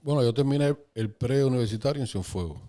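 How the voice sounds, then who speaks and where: A Cuban man in his fifties, a podcast guest calmly recalling his life story in casual conversation. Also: mid-range voice. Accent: Cuban Spanish. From Cuba. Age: 50s. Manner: calm, unhurried, recalling dates and places.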